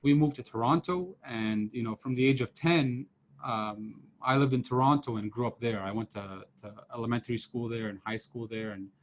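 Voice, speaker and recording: A man in his thirties, originally from Serbia, talks students through his slides on a video call with his camera off. His voice sits at an ordinary middle pitch. He speaks loosely, with plenty of fillers and restarts, in an easy lecturing tone. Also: normal speed. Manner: casual, many fillers and restarts. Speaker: professor giving a seminar. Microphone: computer mic on a video call, camera off.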